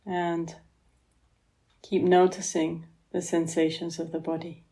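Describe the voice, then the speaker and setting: A woman guiding a relaxation meditation as a yoga therapist, speaking in a gentle, soothing manner with long pauses. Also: on the low side, level tone.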